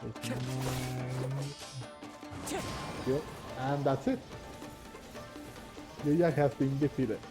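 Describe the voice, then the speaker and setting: A man hosts a casual gameplay video in a medium-pitched voice. He talks slowly and haltingly, often chuckling, with game music clearly behind him. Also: fairly even intonation. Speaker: gamer, video host.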